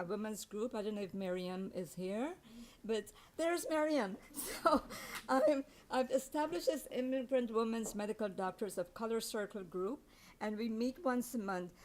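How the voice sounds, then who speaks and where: An older woman giving a grateful speech at an awards event, halting and full of restarts. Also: mid-range voice, a little mellow. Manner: hesitant, with many fillers and restarts. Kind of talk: heartfelt speech from the podium.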